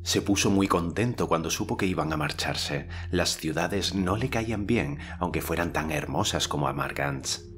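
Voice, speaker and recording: A man narrating an audiobook in a measured, expressive way. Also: low voice, slight background noise. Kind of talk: audiobook narration with character voices.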